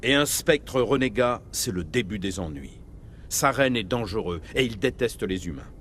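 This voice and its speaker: A commanding middle-aged starship captain in a video game. He is grave and measured and speaks with military authority. A faint rumble sits behind the voice. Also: expressive, a bit bright. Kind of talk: dramatic video game dialogue.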